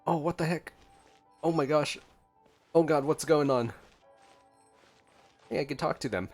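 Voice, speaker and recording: A man's voice: fairly high pitch, measured pace. A man giving casual gameplay reaction commentary as a streamer, with game music behind him. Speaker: gaming streamer.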